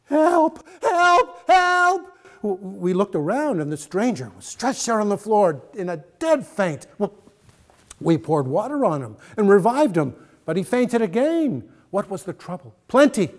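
A man in his sixties reads a story aloud in a theatrical style. His voice sits high for a man and moves very widely in pitch. He leans hard on key words and uses dramatic pauses. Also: sing-song, warm tone. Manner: emphatic, dramatic pauses and repetitions. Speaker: actor reading a short story aloud. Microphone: lapel mic.

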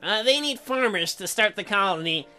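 A man voicing an earnest, halting young farmer in love in a visual novel. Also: sits high, expressive, bright, forceful tone, soft background music, full character voice. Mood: earnest pleading. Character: earnest young farm man, dreamy lover.

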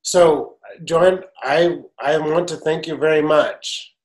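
A man's voice: on the high side, fairly even intonation, takes it slowly, roomy sound. A middle-aged man learning Chinese on a video call from his home study, asking short, curious questions. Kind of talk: casual language lesson on a video call. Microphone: video call, webcam mic.

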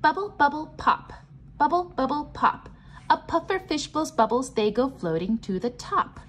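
Lively, sing-song woman reading to children. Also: high voice. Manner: sing-song, clearly enunciated, pausing to ask questions. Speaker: teacher reading a picture book aloud. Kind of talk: children's read-aloud and simple explanation. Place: indoors, at a table.

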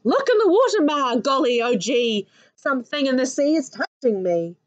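Panicky storybook kid played by a woman, New Zealand accent, raised pitch, big alarmed exclamations. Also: very animated, moderate pace, no room sound, muffled recording. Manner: shrill, loud, alarmed exclaiming. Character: panicky young child squealing at sea creatures.

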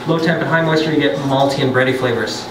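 A man's voice: medium pitch, fast, distant mic, noisy background. Man, brewer, pub science talk, chatty and joking, roomy hall sound. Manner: loose and chatty, joking asides, frequent restarts. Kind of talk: casual lecture to a pub audience. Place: pub with an audience. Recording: roomy, off-mic, loud background.

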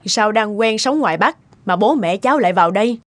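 A woman's voice: mid-range voice, expressive, fairly quick, no room sound, lightly acted. A woman with a southern Vietnamese accent voicing a stubborn, disapproving middle-aged mother in a family cartoon, emphatic and scolding.

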